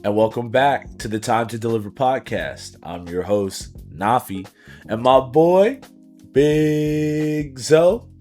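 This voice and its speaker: A man hosting a casual podcast, upbeat and slangy, his voice a little raspy from a week of partying. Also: mid-range voice, takes it slowly, a little mellow, soft background music. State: slightly raspy after partying. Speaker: podcast host.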